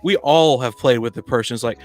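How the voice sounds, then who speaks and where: A man's voice: mid-range voice, a little fast, faint music behind. A man in a casual panel discussion about tabletop gaming, speaking in an opinionated, blunt way. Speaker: podcast panelist.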